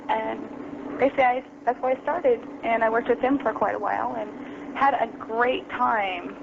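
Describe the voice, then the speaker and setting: A woman's voice: fairly high pitch, steady tone, moderate pace, vocal fry. A young adult woman telling her story as an interview guest over the phone, casual and full of ums and you-knows. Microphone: phone call. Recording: lo-fi phone line, noisy.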